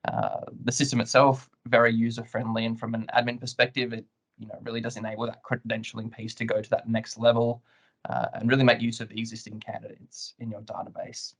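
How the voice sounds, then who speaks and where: A man speaking as a webinar guest, answering questions in a measured, professional, explanatory way. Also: mid-range voice, monotone. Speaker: client guest, compliance lead.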